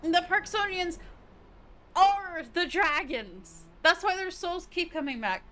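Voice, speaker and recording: A woman's voice: very high voice, lively intonation, takes it slowly, a bit bright. A woman livestreamer giving casual live game commentary, gushing and giggly as she thinks aloud.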